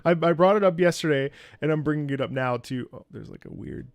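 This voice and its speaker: A man giving casual, candid reaction commentary as a host, thinking aloud in a halting, reflective way. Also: fairly high pitch, gravelly, smooth, dry recording. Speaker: host, opera singer reacting to a game.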